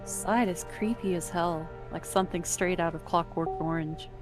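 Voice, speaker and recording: A woman's voice: on the low side, steady tone, normal speed. A woman reading scripted second-person story narration as the narrator. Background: music under the voice.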